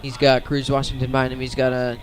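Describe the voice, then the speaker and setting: Even-toned man calling football. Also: medium pitch, fast talker. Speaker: play-by-play sports commentator. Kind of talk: live high school football radio play-by-play.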